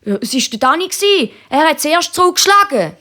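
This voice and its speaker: Woman putting on a high, cheeky little Swiss kid. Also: sing-song, fairly quick, very noisy. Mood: earnest, eager. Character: cheeky, naive little schoolchildren in jokes.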